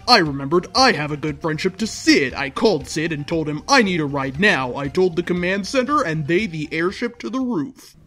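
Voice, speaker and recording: A man's voice: fairly high pitch, fairly bright tone. A man reading a story aloud in a theatrical, mock-serious way, like a host performing fan fiction. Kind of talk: dramatic comedic story reading. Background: music.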